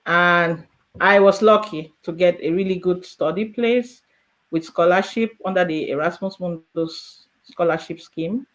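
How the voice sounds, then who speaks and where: A woman's voice: on the low side, unhurried. Cameroonian-accented woman telling her story. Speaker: guest, immigrant in politics. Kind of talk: conversational interview answers, storytelling.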